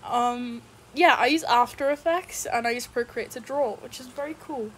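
High-pitched young Polish woman. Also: normal speed, a bit bright, a little hiss or hum. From Poland. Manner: playful, repeats herself with emphasis. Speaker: contestant. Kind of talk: casual self-introduction.

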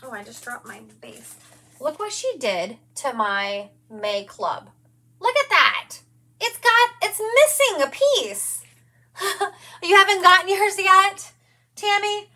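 A woman's voice: very high-pitched, big pitch swings, slow pace, sharp tone. A woman in her forties hosting a live craft demonstration from her studio, chatty and gushing, greeting viewers by name as she works.

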